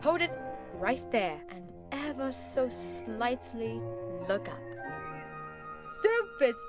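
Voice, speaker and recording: A woman voicing a sarcastic, wheedling female alley cat in an amateur performance of an animated film scene, with theatrical delivery. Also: fairly high pitch, takes it slowly, over music. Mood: wheedling, bossy. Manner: sardonic, theatrical.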